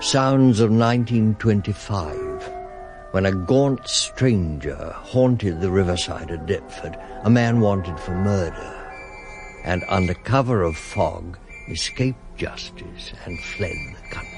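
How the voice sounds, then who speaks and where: The storyteller of a radio crime thriller, with a very low voice. He is measured and suspenseful, over prominent music. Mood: grave, suspenseful. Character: male narrator of a foggy crime thriller. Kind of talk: dramatic scripted narration, 1970s radio drama. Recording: radio drama with loud music underneath. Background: loud music.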